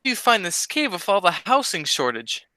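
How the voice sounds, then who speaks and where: A man's voice: high-pitched, sing-song, moderate pace, sharp tone, exaggerated voice. A man playing a cowardly, dim but wisecracking sidekick in a vintage-style comedy radio double act. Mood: naive, curious.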